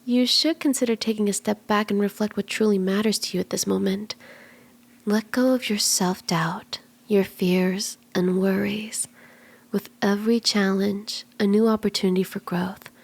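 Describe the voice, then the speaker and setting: A woman voicing a flirty, soothing kitsune fortune teller in an ASMR roleplay, speaking gently and coaxingly, at times in breathy whispers. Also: medium pitch, steady tone, normal speed, lightly acted. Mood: soothing, mystical. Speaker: tarot reader.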